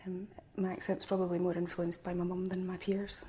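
A woman's voice: on the low side, level tone, a little fast, mellow, slight background noise. Young Glaswegian woman, chatty and hesitant. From Glasgow, Scotland. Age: 20s. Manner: chatty, hesitant with fillers and restarts, laughs easily. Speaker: university student. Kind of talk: casual chat.

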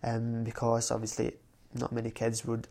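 Hesitant man in a flat-voiced interview. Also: mid-range voice, moderate pace, creaky. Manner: hesitant, many fillers and restarts. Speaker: newspaper staff interviewee. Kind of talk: research interview.

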